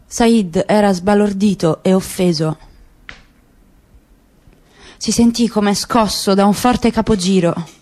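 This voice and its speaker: A woman narrating a literary radio reading in a measured, unhurried storytelling manner. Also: medium pitch, a little mellow.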